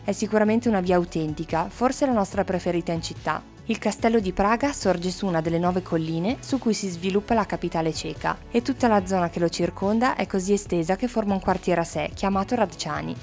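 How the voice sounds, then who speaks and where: A woman's voice: lowish voice, fairly quick, few pauses, a little mellow, soft background music. A woman, a travel vlogger reading a scripted travel narration. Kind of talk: scripted travel narration, some casual on-location talk.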